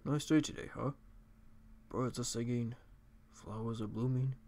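A man voicing a gentle, sorrowful, fatherly old goat-monster king from a video game, soft and measured. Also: mid-range voice, steady tone, gravelly, voice pushed down. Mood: gentle sadness. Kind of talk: video game character impressions.

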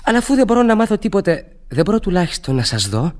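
An actor playing a young, romantic student who flatters a lady in courtly, theatrical style, in a radio play. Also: high voice. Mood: eager, insistent. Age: young adult. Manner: theatrical, courtly, emphatic repetitions. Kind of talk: stage-style Greek radio theatre acting.

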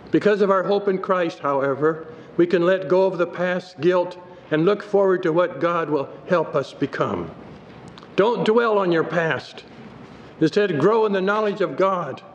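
An older male pastor reads a sermon from his notes in a steady, measured way, his voice a little high for a man. The sound is dull and slightly muffled, with a long hall reverb and faint noise from the sanctuary around him. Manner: measured, reads scripture aloud from the page. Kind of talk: sermon read from notes at a pulpit. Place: church sanctuary.

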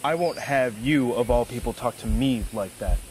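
A man's voice: medium pitch, normal speed, natural voice. Man acting a prickly Confederate veteran, noisy outdoors. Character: hard-drinking male Confederate veteran, confounded and prickly. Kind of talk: period western film acting. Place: outdoors in woods. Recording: noisy outdoor woodland location sound.

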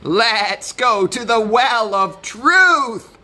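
A woman voicing a patient, sensible goat in a children's story, measured and emphatic. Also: a little high, lively intonation, bright, forceful tone, pitched above the performer's own voice, exaggerated voice. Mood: firm.

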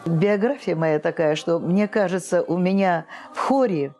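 An older woman, a choir director, speaking as an interview guest in an expressive, theatrical way. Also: fairly low pitch, moderate pace, soft background music. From Russia. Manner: expressive, theatrical, acts out quotes and song lines. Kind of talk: reflective interview storytelling. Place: indoor choir rehearsal room.

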